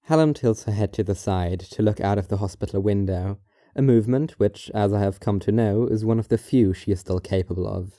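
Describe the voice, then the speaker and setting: A man narrating a scripted first-person story in a measured, detached, formal manner. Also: lowish voice, warm tone, dry recording, clean studio sound.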